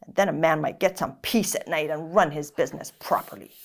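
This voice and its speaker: A woman's voice: low-pitched, fairly quick, voice pushed down. A woman voicing a cross, bad-tempered man in a children's story, scolding and snappish. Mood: angry, threatening. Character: cross, bad-tempered man shouting threats at his goat.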